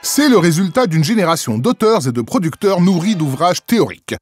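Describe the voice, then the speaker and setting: High-pitched man narrating with lively swings. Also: a little fast, husky, faint music behind. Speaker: narrator of culture magazine. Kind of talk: scripted voice-over narration.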